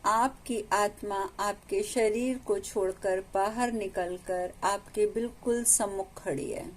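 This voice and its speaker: A woman with an Indian accent leads a guided meditation as a teacher, giving calm instructions with long pauses between phrases. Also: medium pitch, a little hiss or hum, low-quality recording.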